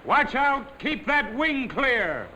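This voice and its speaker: A man's voice: very high-pitched, sing-song, slow, pushed and bright, muffled recording, slight background noise. A man addressing a ship's deck crew as an officer, giving stern, impatient orders. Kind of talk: barked orders.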